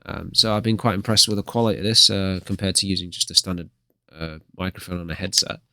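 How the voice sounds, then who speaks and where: A man with a slightly croaky voice from a cold, giving hesitant, rambling let's play commentary as a gaming video host. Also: sits low, flat delivery, quick pace, pushed and bright, no echo, clean studio sound. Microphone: desktop USB microphone.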